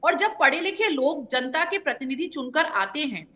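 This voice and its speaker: A woman spokesperson argues her case on a televised news panel, citing laws and dates one after another. Her voice sits very high and sounds bright and forceful. She stays assertive throughout. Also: lively intonation, normal speed. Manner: assertive, lays out points with legal specifics. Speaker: party spokesperson. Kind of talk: debate argument on a news panel.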